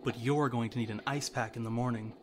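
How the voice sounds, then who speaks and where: A man's voice: medium pitch, steady tone, fast talker, crowd noise, natural voice. A man playing a young, confident, wisecracking sidekick in a superhero fan dub, wry and quippy. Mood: cocky.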